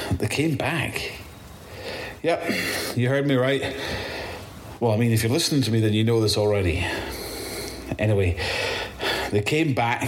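A man with a Scottish accent playing a weary, rattled zombie-apocalypse survivor in an audio drama, speaking haltingly and swearing as he records his testimony. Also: mid-range voice, slight background noise, lightly acted.

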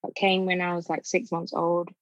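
Low, even-toned woman telling her story. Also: normal speed, dry recording, highs cut off. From born in Nigeria, raised in Birmingham. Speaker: guest, former footballer. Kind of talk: podcast interview.

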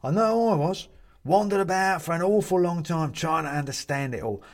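A man's voice: on the high side, lively intonation, moderate pace, pitched above the performer's own voice, close to an everyday voice. A man voicing a jovial, fussy china-figurine ghost in a storybook, chatty and hearty with old-fashioned British turns of phrase. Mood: cheerful, reassuring. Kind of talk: storybook character voice.

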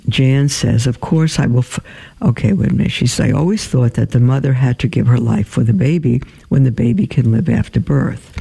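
Gravelly, dark-voiced man reading letters. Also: medium pitch, low-quality recording. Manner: halting, many fillers and restarts while reading. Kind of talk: reading and answering listener emails.

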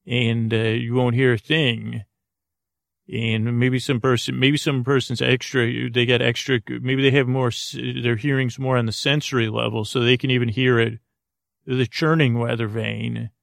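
Creaky-voiced man, rambling bedtime host. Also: mid-range voice, flat delivery, no echo, studio quality. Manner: meandering, halting, trails off mid-thought. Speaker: podcast host.

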